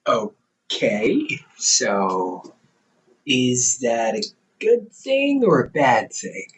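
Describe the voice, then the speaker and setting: A man's voice: medium pitch, huge pitch swings, very slow, far from the mic, slight background noise, full character voice, rough recording. A man voicing a caring, goofy older brother in an audio roleplay, playful and chatty, laughing often.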